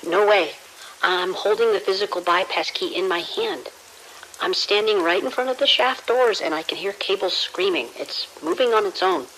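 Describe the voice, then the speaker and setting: A woman's voice: mid-range voice, moderate pace, a bit bright, a little hiss or hum, close to an everyday voice, low-quality recording. A woman playing a nervous security officer on night shift in an audio drama, speaking hesitantly with stammers. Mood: uneasy, rattled. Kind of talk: immersive audio drama acting.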